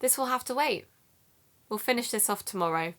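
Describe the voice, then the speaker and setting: A woman playing an amateur detective in an audio drama, speaking in a measured, matter-of-fact, conversational way. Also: on the high side, expressive, a bit bright, slight background noise.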